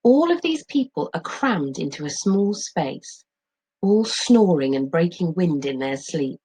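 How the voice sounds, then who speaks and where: A middle-aged woman speaking as a teacher, explaining and reading aloud in a clear, instructive way with expressive phrasing. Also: on the low side, unhurried, rough recording.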